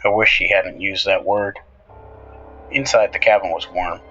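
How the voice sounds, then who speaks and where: A man hosting and narrating a scripted horror story with measured, deliberate phrasing. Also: deep voice, fairly even intonation, sharp tone, highs cut off, soft background music. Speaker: horror show host and narrator.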